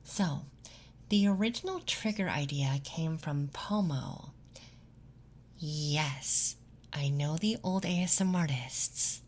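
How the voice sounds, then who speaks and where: A woman voicing a friendly, playful young femboy classmate in breathy, gentle ASMR whispers and soft speech, close to the mic. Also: sits low, slow pace. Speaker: ASMR performer. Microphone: close ASMR microphone.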